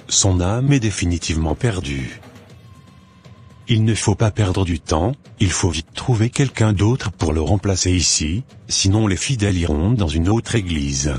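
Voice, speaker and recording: Man acting as evil occult master, cartoon villain, very deep, commanding, menacing, faint music behind. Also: expressive, a little slow, darkish tone. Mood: scornful. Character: commanding male evil occult master scheming to destroy church members. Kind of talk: dramatic animated dialogue.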